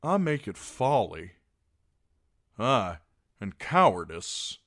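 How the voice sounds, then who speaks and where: A man's voice: on the high side, big pitch swings, slow pace, dry recording, studio quality, pitched above the performer's own voice. A man voicing an earnest, blunt knight in an audiobook, arguing in an insistent, impassioned way. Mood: firm, blunt. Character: earnest, blunt, plain-spoken male knight pleading for mercy.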